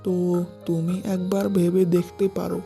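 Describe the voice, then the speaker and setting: A man playing a humble village man in a children's cartoon, speaking in a plain, measured way. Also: sits high, monotone, vocal fry, dark tone. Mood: helpful. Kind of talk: scripted cartoon dialogue. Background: faint music.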